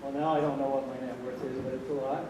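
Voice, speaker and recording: Man in his sixties, soft-spoken, rambling with fillers; distant mic in a very echoey hall. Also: medium pitch, little pitch movement, fast, noisy background. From Vermont. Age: 60s. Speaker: audience member at a panel. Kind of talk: public comment at a panel discussion. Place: large hall. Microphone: distant room mic.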